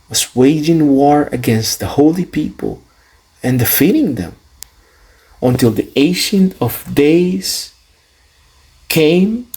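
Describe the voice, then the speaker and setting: A man's voice: medium pitch, darkish tone, slightly rough, rough recording. A man reading scripture dramatically in a solemn, declamatory manner, stretching out phrases for emphasis. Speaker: student reader of scripture.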